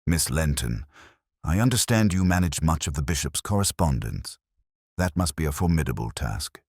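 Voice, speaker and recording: Male reader as shrewd mystery-novel inspector: very deep, mild, courteous, understated, measured questioning. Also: expressive, darkish tone, slightly rough, no room sound, clean studio sound, full character voice. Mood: courteous probing.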